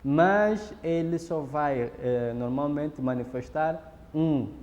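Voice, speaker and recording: A man's voice: a little high, a little mellow, muffled recording. Angolan man explaining in an echoey room. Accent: Angolan Portuguese. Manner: measured, pauses with fillers and restarts. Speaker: interviewee explaining a ritual. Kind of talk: explanatory documentary interview. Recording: reverberant room, faint background noise.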